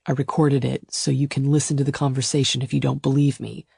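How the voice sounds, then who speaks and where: A woman voicing a fierce, possessive male lover in a romance audiobook, with a low growl and an emphatic, insistent delivery. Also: flat delivery, a little fast, vocal fry, dark tone, breathy edge, dry recording, clean studio sound, exaggerated voice. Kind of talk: audiobook romance character dialogue.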